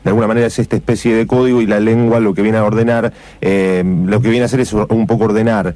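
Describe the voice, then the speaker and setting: A man's voice: fairly low pitch, fairly even intonation, quick pace, warm tone, dry recording. A man hosting a radio interview, friendly and affirming, searching for words as he poses questions. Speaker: radio host.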